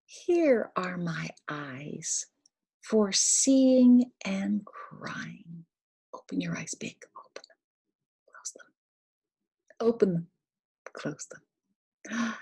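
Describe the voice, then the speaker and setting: An older woman reads a picture book aloud to toddlers, speaking simply with questions and little instructions, in a breathy, husky voice. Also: medium pitch, animated, very slow, pauses often, darkish tone. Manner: simple, instructive, asking the child questions and giving prompts. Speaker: storyteller for young children.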